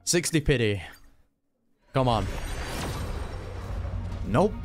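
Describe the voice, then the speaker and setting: Guy streaming a game, chatty casual reactions, lowish voice, some music behind him. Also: expressive, extremely slow. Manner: informal, reacts out loud. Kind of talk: casual play-along commentary.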